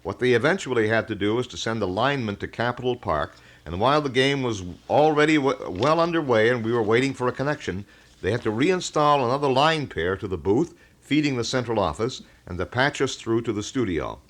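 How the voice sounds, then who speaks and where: A man hosting a local radio talk show, speaking in wordy, measured and detailed explanations. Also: mid-range voice, expressive. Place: radio studio.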